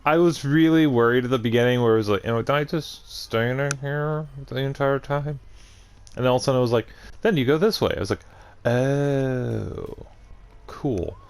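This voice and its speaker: A man's voice: mid-range voice, moderate pace, clear, resonant tone. A man hosting a gaming video with casual let's play commentary.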